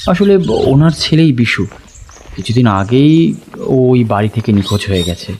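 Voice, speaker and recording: A man playing an anxious, talkative local gentleman who explains things conversationally in an audio drama. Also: medium pitch, very animated, unhurried, slight background noise. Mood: explaining.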